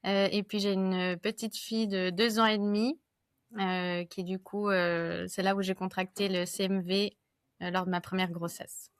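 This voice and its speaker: A woman in her thirties speaks as a guest on a podcast, in a measured way with some fillers. Her voice stays flat in pitch and sounds smooth and clear. The sound is dry and close. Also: no room sound. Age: 30s. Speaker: guest, association co-founder. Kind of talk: casual podcast interview.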